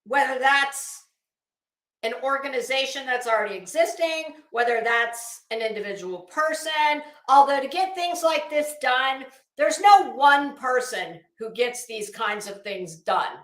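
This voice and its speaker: A woman's voice: high-pitched, lively intonation, measured pace, a bit bright. A woman livestream host on a casual rant to her chat, blunt and coarse, with an emphatic, sarcastic, challenging delivery. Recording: echoey room.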